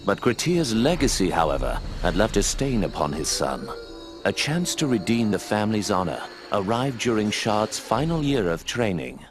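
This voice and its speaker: An older man playing a wise, gruff old owl mentor in a video game, speaking in a measured, formal way. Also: fairly low pitch, sing-song, music in the background. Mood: solemn storytelling. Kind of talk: scripted dramatic narration and game dialogue.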